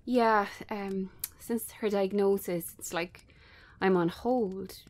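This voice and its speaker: A woman playing a weary, sharp-tongued bartender in her thirties in a naturalistic drama reading, halting and conversational. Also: medium pitch, steady tone, natural voice. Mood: frustrated, venting.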